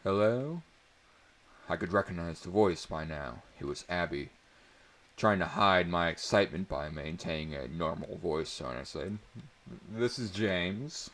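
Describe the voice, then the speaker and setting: A man's voice: extremely low pitch, normal speed, fairly bright tone. A man narrating a first-person story as a dramatic reading.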